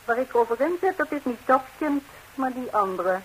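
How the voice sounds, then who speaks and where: A betrayed lady of the house in a 1960s radio comedy. Her voice is fairly high, and she stays composed, delivering sharp, deliberate retorts. A faint hiss runs under the old recording. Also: lively intonation, low-quality recording. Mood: cool amusement. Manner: cool, pointed, deliberate retorts.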